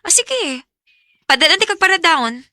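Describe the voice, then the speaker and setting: An actress with a Visayan Filipino accent playing an emotional, trusting young wife working abroad in a radio drama. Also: female voice, very high-pitched, very animated, normal speed, pushed and bright, dry recording, heavily acted. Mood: cheerful, affectionate. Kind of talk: Visayan radio drama acting with first-person narration.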